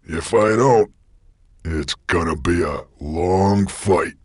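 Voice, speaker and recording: Monstrous bounty hunter, extremely low raspy voice, slow Western American drawl, laconic folksy loner, video game character. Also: male voice, darkish tone, dry recording, studio quality, full character voice. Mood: urgent. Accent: Western American cowboy drawl. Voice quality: gravelly, raspy.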